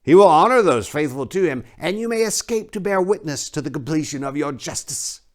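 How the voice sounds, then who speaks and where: Guy doing an evil leering cult priest, high taunting voice, stretches words out, very theatrical storybook villain. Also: lively intonation, close to an everyday voice. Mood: gloating. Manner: mocking, theatrical, drawn-out taunting. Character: leering, menacing high priest of a dark god.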